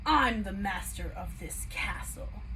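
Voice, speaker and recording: A brooding beast of a storybook, acted out by a woman, gruff and commanding. He is master of his castle and speaks like one used to being obeyed. Noise sits behind the voice. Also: medium pitch, lively intonation, slow pace, pushed and bright, low-quality recording. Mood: menacing.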